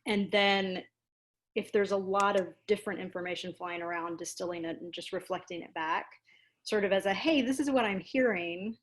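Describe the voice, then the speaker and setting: A woman's voice: medium pitch, normal speed. Informal, self-deprecating woman guest. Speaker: guest, dramaturg and producer. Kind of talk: casual interview answers. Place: online video call.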